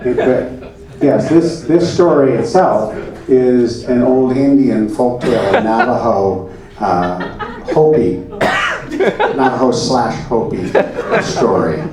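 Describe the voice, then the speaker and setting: A man acting as an earnest puppet-troupe spokesman at a live comedy show, hesitant and prone to over-explaining. He is heard from far off in a very echoey room, with a clearly audible crowd. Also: mid-range voice, takes it slowly, close to an everyday voice. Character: earnest, rambling male puppet-troupe spokesman fielding questions. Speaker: moderator of a comic question session. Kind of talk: live comedy sketch, mock question-and-answer. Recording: echoey room, far mic, audience laughter.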